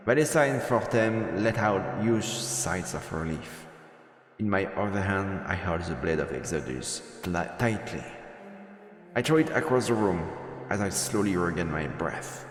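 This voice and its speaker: A man streaming a visual novel, reading the story aloud as dramatic narration with a halting delivery that stumbles over words. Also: low-pitched. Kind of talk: dramatic narration of game text. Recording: reverberant, echoey room sound. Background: game music.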